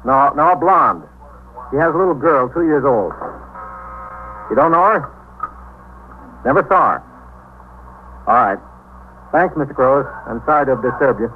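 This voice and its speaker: An actor playing a patient, polite American police desk sergeant in a 1950s radio drama, plain-spoken and reassuring. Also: male voice, mid-range voice, expressive, moderate pace, long pauses, natural voice. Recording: narrow-band 1950s radio recording, faint noise.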